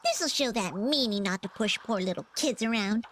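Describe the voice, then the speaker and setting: A high, very animated, sassy little creature girl played by a woman. She is theatrical and mischievous, a cartoonish game sidekick with clear background game sound. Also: normal speed, noisy background, lightly acted. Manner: theatrical, sarcastic, bubbly. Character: small sassy flying fox-bat sidekick, mischievous girl. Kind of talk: cartoonish video game voice acting. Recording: game ambience behind the voice.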